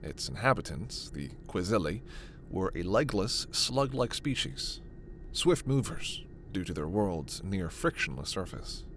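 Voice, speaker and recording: A man playing a gentle, earnest alien scientist in a sci-fi ASMR roleplay, speaking in a measured, hesitant, soft way. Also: low-pitched, big pitch swings, slight background noise, full character voice. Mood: solemn. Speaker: voice actor.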